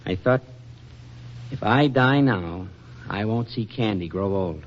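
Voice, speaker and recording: An actor playing an affectionate, teasing, excitable husband in a 1940s radio comedy, with a folksy manner. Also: male voice, fairly low pitch, slow pace. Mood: shaken, confiding. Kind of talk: 1940s radio drama acting. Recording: dull vintage radio drama recording.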